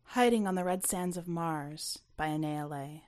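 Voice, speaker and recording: A woman reading her own short story aloud as expressive first-person narration. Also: fairly low pitch, a little slow.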